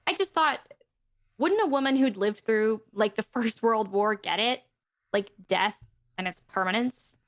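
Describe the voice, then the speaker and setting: An actress playing a blunt actor who voices a brisk houseguest in a period play. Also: female voice, fairly high pitch, animated. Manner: blunt, pointed. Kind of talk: audio drama acting.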